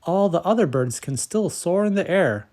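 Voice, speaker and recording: Little storybook girl done by a man, raised pitch, earnest, plaintive, emphatic. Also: animated, moderate pace, darkish tone. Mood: indignant sadness. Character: earnest, determined little girl upset by unfairness.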